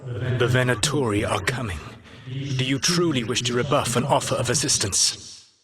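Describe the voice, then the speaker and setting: A man acts out an ancient elven god from a fantasy video game, a rebel leader turned calculating mentor. His middle-pitched male voice is grave and measured, with formal, deliberate phrasing that carries solemn weight. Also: long reverb tail, over music, natural voice. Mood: urgent. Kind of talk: video game dramatic voice acting.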